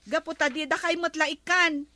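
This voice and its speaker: A woman's voice: high-pitched, fairly even intonation, moderate pace, sharp tone, no echo. A woman playing a guarded, argumentative village store woman in an Ilocano comedy drama. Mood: firm, argumentative.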